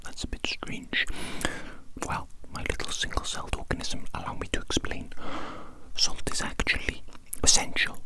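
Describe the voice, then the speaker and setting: An ASMR performer playing a chaotic evil doctor in a breathy whisper, fussing dotingly and repeating words and phrases. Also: normal speed.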